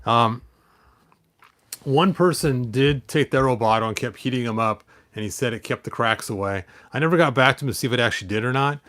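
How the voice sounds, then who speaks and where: A man with a medium-pitched voice hosts a casual collector's vlog. He rambles from point to point and often talks half to himself while handling things. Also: fairly quick, a bit bright. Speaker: toy collector, video host.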